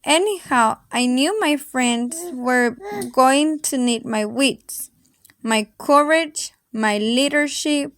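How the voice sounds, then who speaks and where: Slow, high-voiced woman reading a storybook. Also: fairly bright tone, no room sound, studio quality. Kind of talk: read-aloud children's storybook narration.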